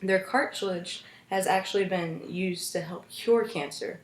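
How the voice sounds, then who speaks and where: Young woman, earnest class speaker, lowish pitch, keeps restarting; recorded in a roomy space. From United States. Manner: earnest, halting, frequent restarts. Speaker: student speaker. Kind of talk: informative class speech. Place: room at home with roommates listening. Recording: echoey room.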